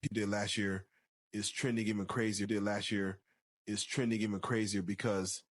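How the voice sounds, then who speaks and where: Hesitant low-voiced interviewer man. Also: little pitch movement, moderate pace, no room sound. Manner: hesitant, stumbling. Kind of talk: halting interview question.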